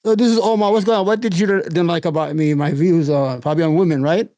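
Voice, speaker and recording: A man's voice: on the high side, fast, mellow, no room sound. A man in a heated online debate over a voice call, blunt and provocative, with broken phrasing. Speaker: debate guest.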